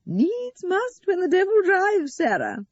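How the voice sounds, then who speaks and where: A woman's voice: medium pitch, big pitch swings, fairly quick, a little mellow, breathy edge, dry recording, lo-fi sound. A woman voicing a righteous older mother in an audio story, prim, self-pitying and cutting. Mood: martyred.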